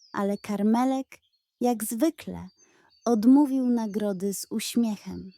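Slow, mellow woman reading a bedtime story. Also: on the high side, no room sound. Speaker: storyteller of children's bedtime tales. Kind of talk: scripted storybook narration.

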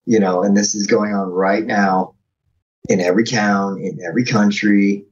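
A man's voice: fairly low pitch, fairly even intonation, moderate pace. An American man talking casually as a podcast guest, conversational and emphatic, on slightly dull lo-fi audio.

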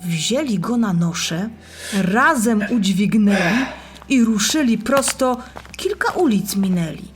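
A woman narrating a scripted rhymed Bible story for children in a deliberate, expressive way. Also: mid-range voice, music in the background.

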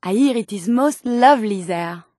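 An actress voicing a gentle, earnest female robot scientist turned human in a video game, with a French accent and formal, precise phrasing. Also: mid-range voice, animated, moderate pace, no room sound, clean studio sound, close to an everyday voice. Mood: polite, curious. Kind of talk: video game character dialogue.

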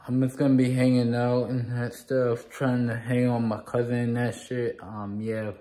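A man's voice: mid-range voice, little pitch movement, normal speed, warm tone. A young man talking casually to his phone camera in a small living room, rambling and repeating the same filler phrases. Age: young adult. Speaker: vlogger. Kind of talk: casual vlog talk to camera. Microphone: phone camera at arm's length.

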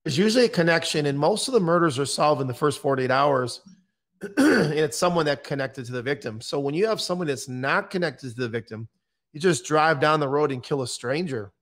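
A man's voice: fairly high pitch, lively intonation, a little fast, a bit bright. A middle-aged American man talking in a blunt, matter-of-fact way, as a guest in a conversational interview. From United States. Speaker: podcast guest, true-crime interviewer and author. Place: indoor room, on a video call. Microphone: microphone on boom arm.

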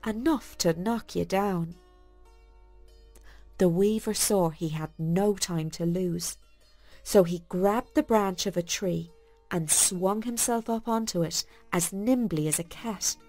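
A woman reads a bedtime tale for children. She is folksy and expressive, taking her time over each phrase. Faint music plays behind her. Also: mid-range voice. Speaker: storyteller. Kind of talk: scripted bedtime story narration for children.

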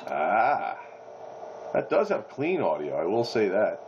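Deep-ish American man on muffled CB radio. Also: huge pitch swings, slow. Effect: CB radio transmission. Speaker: CB radio operator. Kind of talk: casual radio chat. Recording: noisy muffled CB radio speaker.

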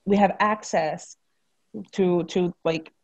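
A woman from Finland, a counselor at a women's shelter, speaking softly as a panelist on a video call. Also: fairly low pitch, steady tone, slow pace, no room sound.

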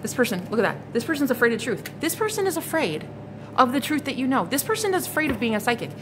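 A woman in her thirties talks straight into a close phone camera in a small room, reading tarot cards. She is blunt and exasperated, swears freely and repeats her points. A clear layer of background noise sits under her voice. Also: sits high, sing-song, fast talker. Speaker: psychic tarot reader.

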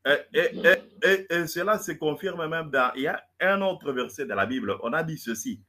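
A man's voice: on the high side, lively intonation, normal speed, bright, forceful tone, slight background noise. A man debating in French, which is not his first language, stammering and repeating words as he lectures. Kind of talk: heated live debate.

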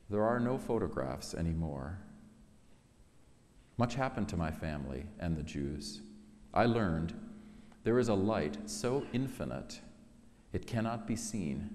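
A middle-aged man gives a solemn, dramatic poetry reading, measured and expressive, with weighty pauses. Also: low-pitched. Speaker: poet reading own work. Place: large synagogue sanctuary, at a lectern. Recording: echoey hall reverb.

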